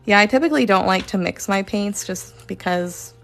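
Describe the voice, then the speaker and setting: A relaxed woman talks through her painting on a live stream, rambling with plenty of fillers and now and then dropping to a soft murmur. Her voice has a light gravelly fry. Quiet music plays faintly behind her. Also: medium pitch, normal speed. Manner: chatty, rambling, lots of fillers and likes; says she is relaxed, talks softly at times. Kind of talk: casual live-stream chat while painting.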